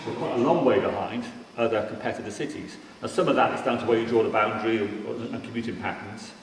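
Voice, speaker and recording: A man's voice: medium pitch, fast. A man from Cardiff in Wales giving an informal but emphatic keynote presentation with slides, restarting sentences here and there. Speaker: keynote speaker on regional transport. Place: large indoor forum venue. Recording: very echoey hall, distant mic, faint noise.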